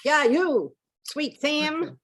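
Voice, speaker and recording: High-pitched woman moderator. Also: drawn-out words, a bit bright. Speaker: discussion moderator. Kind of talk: casual questioning. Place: online video call.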